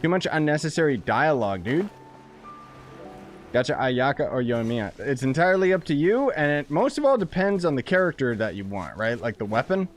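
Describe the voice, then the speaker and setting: Flippant male game streamer over faint music. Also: fairly high pitch. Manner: slangy, flippant, irreverent. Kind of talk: casual gameplay commentary.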